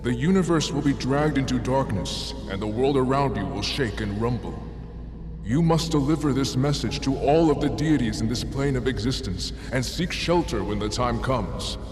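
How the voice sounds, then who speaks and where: A solemn god of the heavens, acted by a man, delivers formal proclamations in a mid-pitched voice. Long cavernous reverb surrounds every word, with music clearly behind it in this fantasy audio drama. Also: lively intonation, moderate pace, close to an everyday voice. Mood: grave warning.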